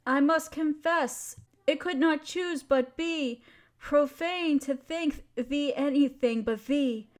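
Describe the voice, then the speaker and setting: High-voiced, slow-paced affectionate wife played by a woman. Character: affectionate, teasing wife sharing love poems with her partner. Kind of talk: intimate roleplay audio with poetry reading.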